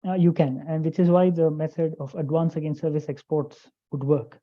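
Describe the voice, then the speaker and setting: A guest on a business webinar over a video call, explaining compliance rules in a measured, careful way. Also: medium pitch, level tone. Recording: dull lo-fi call audio.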